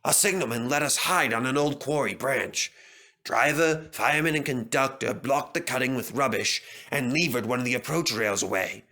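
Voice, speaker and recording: A man's voice: medium pitch, moderate pace, pushed and bright. Man as a weary, earnest runaway steam engine.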